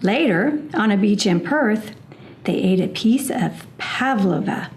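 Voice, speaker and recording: A woman reading a children's storybook aloud in a measured, expressive storytelling manner. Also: medium pitch, a little hiss or hum.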